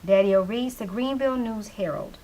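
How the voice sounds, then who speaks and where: A woman's voice: medium pitch, normal speed, dark tone, muffled recording, a little hiss or hum. Woman narrator reading stage directions. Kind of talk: scripted narration for a play reading.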